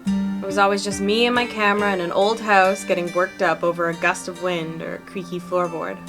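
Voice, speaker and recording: A woman's voice: lowish voice, flat delivery, a little fast, natural voice. Woman as a chatty, earnest young cryptid hunter, background music. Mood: candid, earnest. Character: chatty, upbeat young female cryptid-hunting video maker. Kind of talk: fan-dubbed visual novel dialogue.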